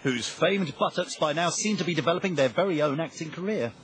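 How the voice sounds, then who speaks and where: A man's voice: a little high, lively intonation, brisk, a bit bright, a little hiss or hum. A British man delivering scripted documentary narration in a wry, tongue-in-cheek way.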